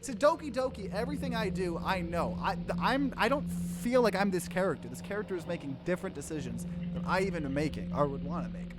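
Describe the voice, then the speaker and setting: A man doing a let's play, reading game text aloud and breaking in with animated, bewildered reactions. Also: high voice, fast, hardly any pauses, heavy background noise.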